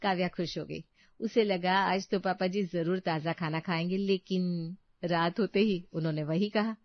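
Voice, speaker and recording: A woman's voice: on the low side, fairly even intonation, normal speed, dry recording, dull audio. A woman narrator reading a scripted family story as a storyteller.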